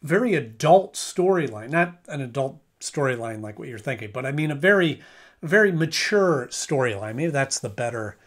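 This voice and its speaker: A man's voice: mid-range voice, animated, moderate pace. A man giving a casual video review of a cartoon episode, rambling and correcting himself with frequent restarts.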